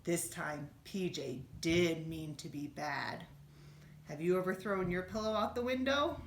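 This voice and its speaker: A woman reading a children's storybook aloud like a teacher, expressively, with gentle questions to her young listeners. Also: fairly low pitch, unhurried, slight background noise. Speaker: schoolteacher reading a bedtime story. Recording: roomy, echoey room sound.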